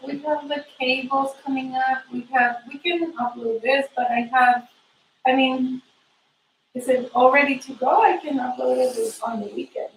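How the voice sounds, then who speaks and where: Co-host, woman, high voice, off-mic in a roomy space; brief, practical asides. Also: steady tone, measured pace, recorded from a distance. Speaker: co-host who organizes the uploads. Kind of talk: casual chat. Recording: echoey room, off-mic, faint noise.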